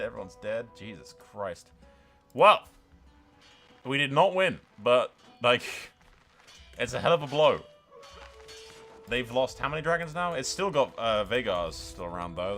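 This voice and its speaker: A male gaming host with a bright, forceful voice and very lively pitch. He gives sweary, excitable play-by-play, jumping from dramatic outbursts to dry understatement, with music playing behind him. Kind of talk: excitable let's-play commentary.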